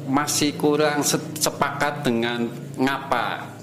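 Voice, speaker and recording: A man's voice: mid-range voice, takes it slowly, echoey room, background noise. Tegal-accented man telling stories. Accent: Tegal Javanese. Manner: relaxed storytelling, mixing in regional dialect. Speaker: podcast guest. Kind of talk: casual conversation.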